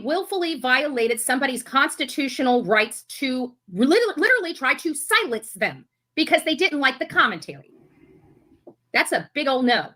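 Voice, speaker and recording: A woman's voice: sits high, very animated, normal speed, bright, forceful tone. A woman commentator giving an impassioned monologue to her viewers, emphatic and indignant, repeating herself for force. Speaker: YouTube commentator.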